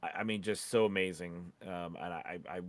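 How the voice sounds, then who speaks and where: A man's voice: low voice, fairly even intonation, brisk, a crowd in the background. A man co-hosting a casual livestream chat, speaking in an informal, enthusiastic way. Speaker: host.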